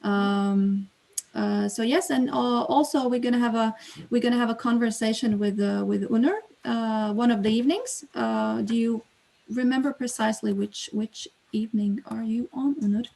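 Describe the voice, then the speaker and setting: A woman's voice: a little high, normal speed, creaky, darkish tone, rough recording. A woman hosting an online exhibition opening who reads a prepared statement over a video call, then moderates with hesitant fillers. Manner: hesitant fillers when speaking off script. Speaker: exhibition host and curator.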